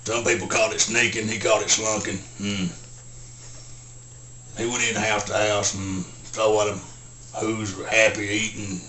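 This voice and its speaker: Halting rural Southern simpleton storyteller, forceful edge, monotone, grunting hums, film-parody style, faint background noise. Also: male voice, lowish voice, echoey room. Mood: deadpan, plodding. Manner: halting, deadpan, grunts "hmm" between short sentences. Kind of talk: folksy storytelling, film character impression.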